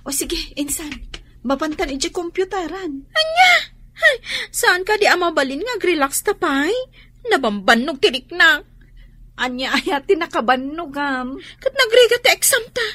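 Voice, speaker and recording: A woman acting young, excitable, teasing student cousins in an Ilocano-accented radio drama. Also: very high-pitched, normal speed, dry recording, exaggerated voice. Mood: relieved, then exasperated, teasing.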